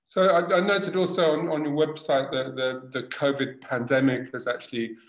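A middle-aged man hosts a video-call interview from home. His voice has a medium pitch. He halts often and circles back over his words, and his laptop mic picks up a roomy, echoey living room. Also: flat delivery, moderate pace. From born in Tunisia, grew up in England. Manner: hesitant, with many restarts and fillers. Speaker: host, designer. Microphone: laptop webcam on a video call.

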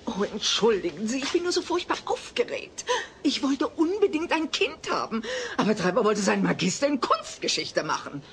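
A woman's voice: on the high side, very animated, moderate pace, crowd noise. A woman playing a nervous, gossipy neighbour in a sitcom audio drama, fussy and prying. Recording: noisy cassette audio drama.

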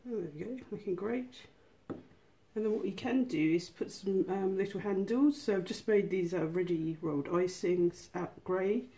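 A woman's voice: medium pitch, normal speed, warm tone. A woman hosting a casual home cooking tutorial, explaining each step in an informal, chatty way. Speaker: host of a miniature cooking channel.

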